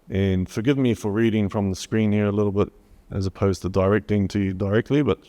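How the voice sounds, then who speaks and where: A man with a New Zealand accent delivers a technical presentation at a conference. His voice sits on the lower side, and he pauses often with ums and uhs while working through his slides. A long reverb from the hall trails behind him. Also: darkish tone. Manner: hesitant, many fillers, reads from slides.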